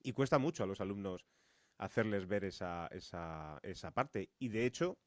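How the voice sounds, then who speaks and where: Lowish-voiced male podcast host. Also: moderate pace, a crowd in the background. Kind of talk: casual conversational discussion.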